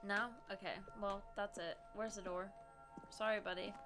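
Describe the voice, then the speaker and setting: A woman's voice: mid-range voice, little pitch movement, moderate pace, music in the background. A young woman with a stuffy nose, playfully teasing a game as she streams. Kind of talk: casual gameplay commentary.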